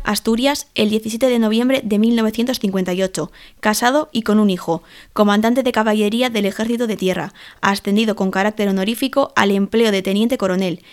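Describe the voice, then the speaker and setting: A woman reading out short formal biographies in an even, formal recitation. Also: mid-range voice, brisk, few pauses. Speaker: reader of memorial biographies. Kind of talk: scripted reading.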